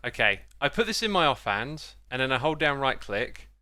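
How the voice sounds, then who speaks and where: A man's voice: medium pitch, big pitch swings, normal speed, sharp tone, no room sound. A man chatting casually as he hosts a gaming video, close on the microphone. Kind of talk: casual gameplay commentary and chat.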